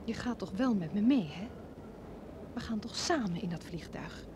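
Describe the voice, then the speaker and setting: A woman's voice: medium pitch, expressive, moderate pace, close to an everyday voice. An actress playing an insistent lover running off with a married man in an old Dutch radio play. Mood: tender, pleading. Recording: old radio recording, clear background noise.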